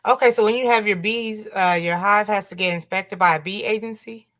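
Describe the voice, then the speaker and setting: A woman with a raspy voice hosting a casual interview, curious and quick to laugh as she reads out viewer questions. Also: medium pitch, fairly bright tone. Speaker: host.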